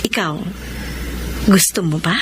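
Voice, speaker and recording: An actress with a Filipino accent playing a gentle, devoted young wife in a radio drama. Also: female voice, lowish voice, unhurried, heavy background noise, close to an everyday voice. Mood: tender, reassuring. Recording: music bed behind the voice.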